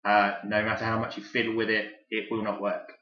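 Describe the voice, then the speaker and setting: Male toy reviewer, lowish voice, chatty and enthusiastic, casual and rambling delivery; roomy, slightly muffled home-recorded sound. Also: completely flat delivery, brisk, pushed and bright. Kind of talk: casual hands-on product review. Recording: roomy echo, dull lo-fi sound.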